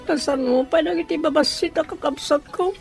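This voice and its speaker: Anxious, high-voiced younger sister. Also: female voice, moderate pace, soft background music. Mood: resigned. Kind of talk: radio drama acting.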